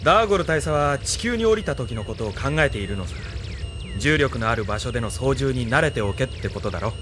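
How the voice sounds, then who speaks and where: An actor playing a brisk, confident young military pilot in an audio drama. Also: male voice, medium pitch, lively intonation, lightly acted. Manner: assured, brisk. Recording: loud vehicle noise behind.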